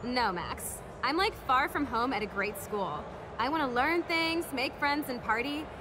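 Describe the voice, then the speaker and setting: Animated, chatty teenage girl student. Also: high-pitched, unhurried, noisy background. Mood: confiding. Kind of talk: video game cutscene dialogue.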